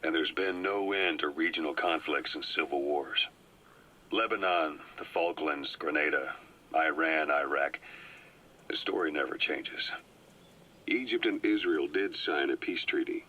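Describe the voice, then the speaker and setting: A calm, briefing field agent. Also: male voice, extremely low pitch, full character voice. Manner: measured, explanatory briefing. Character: calm, well-informed male agent briefing a comrade. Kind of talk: video game cutscene voice acting. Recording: muffled cassette tape audio.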